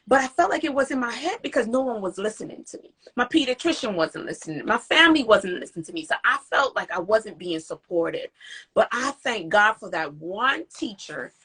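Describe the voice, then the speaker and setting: Bright, husky-voiced woman hosting. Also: fairly high pitch, brisk. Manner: earnest, animated storytelling with emphatic quoted speech. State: recovering from voice loss. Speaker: interview host and parent advocate. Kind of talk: casual live-stream interview. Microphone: video call.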